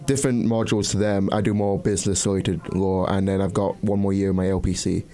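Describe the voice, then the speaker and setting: Twenties guy, law student guest, rapid and mellow-toned. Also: low voice, little pitch movement. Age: 20s. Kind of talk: interview answers. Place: radio studio.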